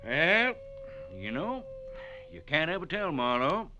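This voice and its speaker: Excitable, swooping jovial ranch host. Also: male voice, a little high, slow pace. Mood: jovial, enthused. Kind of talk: 1940s radio drama acting. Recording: noisy, dull old radio recording.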